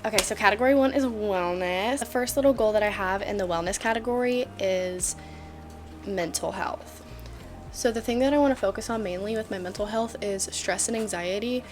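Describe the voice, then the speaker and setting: A twenty-year-old woman chats to her camera in a bubbly, joking way, scattering fillers as she goes. Her pitch sits in an ordinary middle range. Faint music plays in the background. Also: moderate pace. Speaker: vlogger. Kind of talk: casual chatty vlog.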